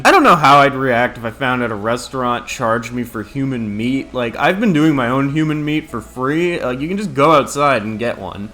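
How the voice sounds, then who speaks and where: Male host, irreverent and animated, scripted review read with loose, crude asides. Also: medium pitch. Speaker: host of an entertainment ranking video.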